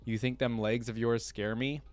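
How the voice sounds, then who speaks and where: A man's voice: medium pitch, little pitch movement, moderate pace, a little mellow, faint music behind, natural voice. A man voicing an arrogant, thick-headed bodyguard thug in a storybook read-aloud, with coarse, insolent speech. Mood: defiant, sneering.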